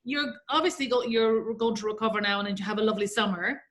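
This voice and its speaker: An Irish woman with a fairly high voice, hosting a casual interview over a video call. She gushes with praise and runs her sentences together at length. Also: fast talker, fairly bright tone. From Ireland. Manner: effusive, gushing with praise, long run-on sentences. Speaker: host.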